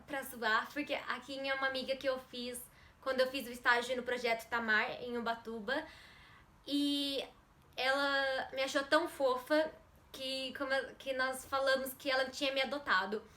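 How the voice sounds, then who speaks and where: A young Brazilian woman with a Brazilian Portuguese accent chats casually to her viewers, answering questions. Her voice sits a little high, and she often restarts and corrects herself as she goes. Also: sharp tone. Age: young adult. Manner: chatty, self-correcting, laughs often. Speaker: YouTuber. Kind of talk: casual Q&A vlog.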